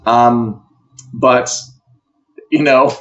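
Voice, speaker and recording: A man's voice: mid-range voice, steady tone, extremely slow, a bit bright, dull audio. An American man co-hosting an entertainment show, chatting casually and posing opinionated rhetorical questions. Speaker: co-host of an entertainment news show. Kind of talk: casual chat.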